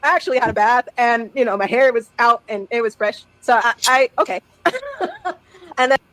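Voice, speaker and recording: A chatty woman tells a story, laughing often and acting out each side of the talk. Her voice sits a little high. The sound is dry and close, with no room around it. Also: fairly quick, fairly bright tone, no room sound, poor audio. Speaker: guest telling a story. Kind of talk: casual storytelling on a live stream.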